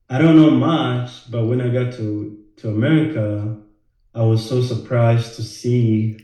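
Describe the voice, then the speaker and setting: A man from Rwanda, a financial educator, talking casually to the camera, halting with many fillers and repeated words. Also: mid-range voice, mellow. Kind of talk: casual direct-to-camera talk. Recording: very echoey room.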